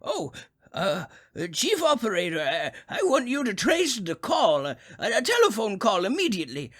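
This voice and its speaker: Someone playing a husky, crotchety old man. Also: mid-range voice, huge pitch swings, normal speed, a bit bright. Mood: anxious, urgent. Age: older. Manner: fussy, indignant, stammering, demanding. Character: crotchety, agitated, self-important old man. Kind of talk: 1940s suspense radio drama acting.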